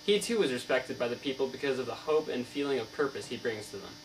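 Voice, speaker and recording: An earnest, learned novelist, acted by a man, answers interview questions in formal, recited sentences. He trips over the odd word. The voice sits at a middling pitch, recorded away from the mic with mains hum underneath. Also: fast talker, distant mic, close to an everyday voice, low-quality recording. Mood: earnest, explanatory. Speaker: student playing an interviewed author. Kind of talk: scripted mock interview answers.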